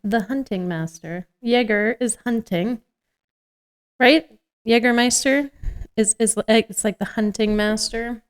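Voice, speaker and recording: A woman's voice: a little high, slow pace, smooth. Joking woman podcast co-host. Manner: joking, teasing. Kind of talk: casual banter and read-aloud script.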